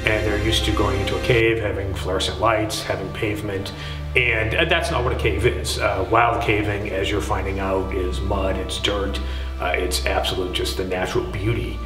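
Low male voice, American, casual guide talk, matter-of-fact, echoey cave, background music. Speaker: caving class guide. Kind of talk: casual interview. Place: inside a large rocky cave. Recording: echoing cave, music underneath.

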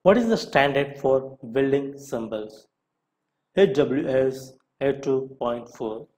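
A man with a mid-range voice narrates a scripted lesson. He reads out questions and follows each with a short answer. His delivery is deliberate, in the way of an instructor. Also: medium pitch, lively intonation, darkish tone.

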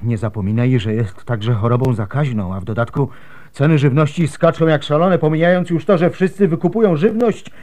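A man's voice: on the high side, a little fast, a little mellow, close to an everyday voice. An actor playing a worried, protective Byzantine husband and father in a radio drama, speaking earnestly. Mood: anxious, urgent.

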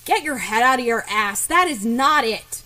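A woman voicing a gruff, hot-tempered, foul-mouthed teenage boy in a story, curt in manner. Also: a little high, lively intonation, normal speed, bright, forceful tone. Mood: irritated. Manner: brusque, curt.

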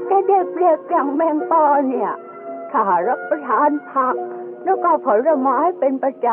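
A woman playing a kindly elderly grandparent who patiently explains things in a folksy, instructive way in an educational radio drama. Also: sits high. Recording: tinny band-limited audio with music behind.

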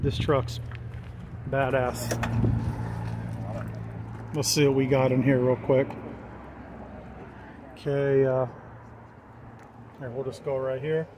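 A man's voice: mid-range voice, flat delivery, slow, pauses often, darkish tone. An American man giving an informal, enthusiastic walk-around commentary outdoors, using plenty of slang. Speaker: vlogger filming a CB radio meetup. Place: outdoors in a gravel parking lot. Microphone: handheld camera or phone mic. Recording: clear outdoor background noise.

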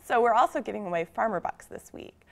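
Young woman with a slightly gravelly voice. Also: mid-range voice, expressive, fast. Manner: chatty, hesitant, many ums. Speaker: farmers market guest. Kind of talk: morning show interview. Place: TV studio set.

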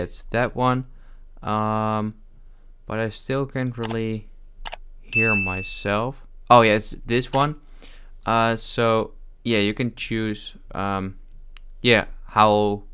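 A man narrating a casual software tutorial as its host, speaking off the cuff with frequent hesitations. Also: fairly low pitch, smooth.

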